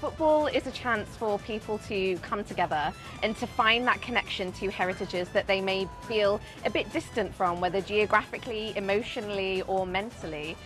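Woman giving a smooth thoughtful answer, music playing under. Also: medium pitch, moderate pace, hardly any pauses, fairly bright tone. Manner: fluent, considered. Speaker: interviewee. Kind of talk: articulate interview answer.

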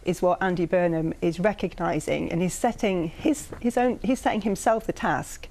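Earnest, lower-voiced woman making a long case. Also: normal speed, darkish tone, slight background noise, poor audio. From North East England. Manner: earnest, builds long persuasive arguments. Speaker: political commentator. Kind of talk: panel debate.

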